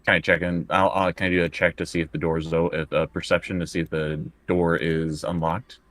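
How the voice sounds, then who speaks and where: A man's voice: low-pitched, little pitch movement, brisk, a bit bright, dry recording. A man chatting casually as a tabletop roleplaying player, thinking aloud and working through his choices bit by bit.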